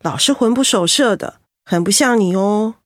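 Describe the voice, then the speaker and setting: A woman's voice: medium pitch, no room sound, clean studio sound, natural voice. An actress playing a shrewd, motherly team leader who scolds fondly and probes, in a radio drama. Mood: probing, fond. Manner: brisk, probing, fondly scolding. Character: shrewd, motherly female team leader, self-styled old fox.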